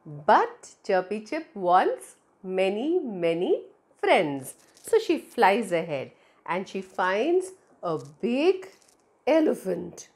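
A woman's voice: medium pitch, sing-song, slow, darkish tone. A middle-aged woman with an Indian accent telling stories to young children, speaking expressively and directly to them on a lapel mic. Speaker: children's storyteller. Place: small indoor room. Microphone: clip-on lapel mic.

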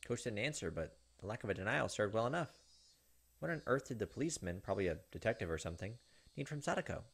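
Quick, low-voiced man narrating. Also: expressive, gravelly, breathy edge. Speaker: gaming video host. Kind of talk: reading visual novel text aloud with casual asides. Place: indoors, recording at a computer. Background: faint game music.

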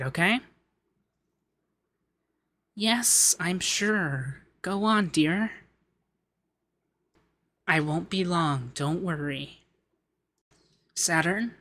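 A man playing a shy, sweet young femboy heir in an ASMR roleplay, hesitant and gently flustered, stammering as he talks. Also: fairly high pitch, exaggerated voice. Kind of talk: ASMR roleplay audio drama.

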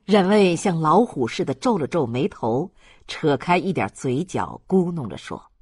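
Husky, expressive audiobook narrator. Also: mid-range voice, unhurried, no room sound, clean studio sound. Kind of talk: scripted literary narration.

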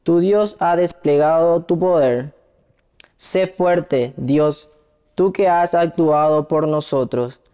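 Slow, clear young man reciting in reverberant chapel. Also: fairly high pitch, warm tone. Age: young adult. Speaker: psalm reader. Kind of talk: solemn psalm recitation at Mass. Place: indoor chapel.

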